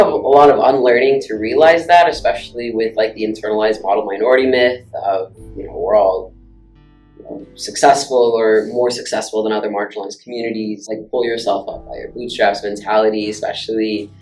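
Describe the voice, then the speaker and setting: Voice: medium pitch, echoey room, rough recording. A student activist from Alaska, speaking frankly and thoughtfully in a personal interview. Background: faint guitar music.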